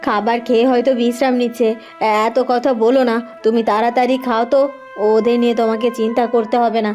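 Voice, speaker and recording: A woman's voice: fairly high pitch, flat delivery, fast, darkish tone, highs cut off, faint music behind, close to an everyday voice. A woman voicing a meek, dutiful young village wife in a cartoon, pleading and deferential. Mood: caring. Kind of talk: cartoon voice acting.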